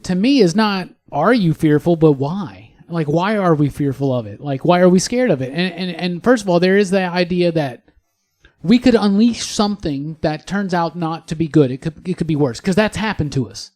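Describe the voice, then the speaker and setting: A man's voice: high voice, normal speed, a little mellow. A man co-hosting a casual panel discussion in a studio, musing earnestly and repeating phrases for emphasis. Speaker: podcast co-host. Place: podcast studio.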